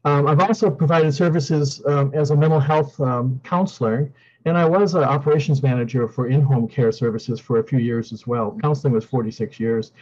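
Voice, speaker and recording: A man's voice: mid-range voice, steady tone, brisk, a little mellow. Retired man, webinar host, hesitant with fillers, muffled video call audio. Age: older. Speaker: co-chair and meeting host. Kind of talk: casual webinar hosting.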